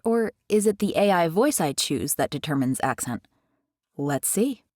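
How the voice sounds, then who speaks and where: Lively American woman explaining. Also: lowish voice, no echo, clean studio sound. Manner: chatty, animated, curious. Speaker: tutorial host. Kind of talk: casual explainer. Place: carpeted bedroom. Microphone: headset mic.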